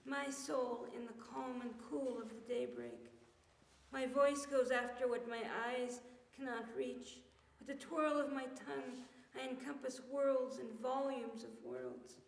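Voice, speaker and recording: High-voiced woman reading poetry very slowly. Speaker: poet reading aloud. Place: church meeting room with tiled floor, indoors. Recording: roomy, reverberant hall sound.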